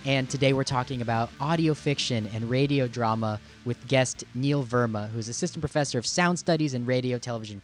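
A man hosting a podcast in a conversational interview style, with informal, halting phrasing. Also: medium pitch. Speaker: podcast host.

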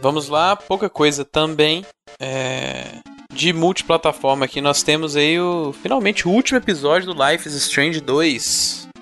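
Relaxed man with a Minas Gerais accent, bright tone, rambling podcast co-host. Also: fairly high pitch, a little slow, faint music behind. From Minas Gerais, Brazil. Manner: relaxed, rambling, with many restarts. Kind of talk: casual podcast chat.

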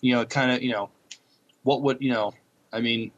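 A man's voice: medium pitch, fairly even intonation, normal speed, a bit bright, muffled recording. A man co-hosting a podcast, casually discussing a show in a hesitant, thoughtful way. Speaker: podcast co-host.

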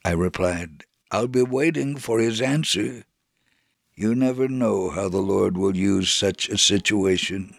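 A man reading a scripted first-person religious narrative in a measured, solemn, dramatic way. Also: lowish voice, gravelly, no echo, clean studio sound. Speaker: storyteller on a religious radio program.

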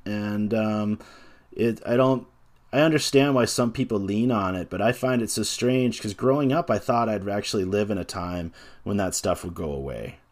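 Brief: man about forty, game designer being interviewed; lowish voice, thoughtful, halting delivery full of "you know" and "um.". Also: a little mellow. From North America. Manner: reflective, hesitant, full of pauses and fillers. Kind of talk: casual interview talk.

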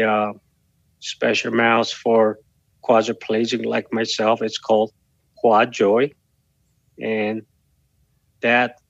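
Korean-native man, middle-aged, slow halting delivery, gravelly, dry dull lo-fi sound. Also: medium pitch, no echo. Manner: halting, slow, many pauses and fillers. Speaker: guest. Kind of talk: podcast interview.

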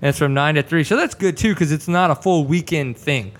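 A man's voice: on the high side, brisk. A man in his thirties with a Texan accent, hosting a casual podcast chat, laid-back and jokey, swearing freely. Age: 30s. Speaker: podcast host.

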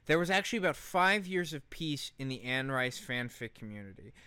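A man with a bright, crisp voice tells a story as co-host of a comedy podcast. He is casual and sweary, leaning hard on key words. The sound is close and dry. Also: medium pitch, animated, dry recording. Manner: profane, emphatic, deliberate storytelling.

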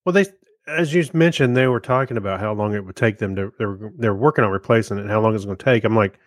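A man co-hosting a show, talking casually in an easygoing, loosely phrased and halting way. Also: on the low side, no echo, studio quality. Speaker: podcast co-host. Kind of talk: casual chat.

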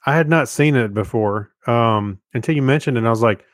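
A man talks casually as the co-host of a podcast. His voice sits at an average male pitch, and the recording is dry, with almost no room sound around it. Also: brisk, no room sound. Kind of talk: casual chat.

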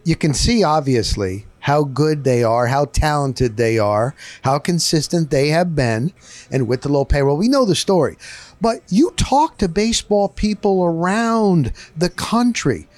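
A man's voice: a little high, animated, unhurried, a little mellow, no room sound. A man hosting a casual sports interview, putting questions to his guest in a conversational style. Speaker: interviewer, host.

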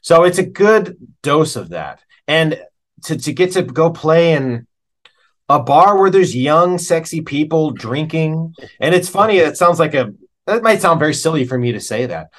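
A man's voice: fairly high pitch, expressive, normal speed. Casual American man, candid interview guest. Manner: informal and candid, with frequent "man" and "you know". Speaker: interview guest, working keyboard musician. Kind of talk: casual, reflective interview talk.

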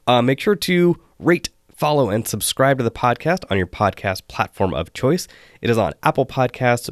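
A man hosting a solo podcast, hoarse and losing his voice, chatting with wry asides. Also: fairly low pitch, dry recording, clean studio sound. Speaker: podcast host.

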